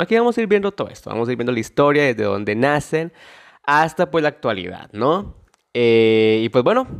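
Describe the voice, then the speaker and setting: A man with a stuffy nose from a cold, hosting a solo history podcast in a casual way that often adds little tag questions. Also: mid-range voice. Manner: casual, frequent tag questions and small restarts. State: nasal congestion from a cold. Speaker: podcast host.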